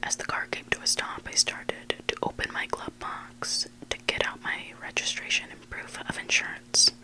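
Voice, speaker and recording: An ASMR host whispering scary stories in a hushed, unvoiced voice, close to the microphone. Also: moderate pace, rough recording. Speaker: ASMR host reading listener-submitted stories. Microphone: close microphone made for headphone listening.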